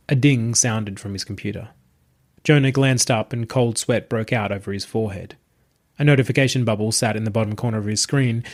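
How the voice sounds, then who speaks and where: A man's voice: medium pitch, a little mellow. A man narrating a scripted short story as the host of a fiction podcast.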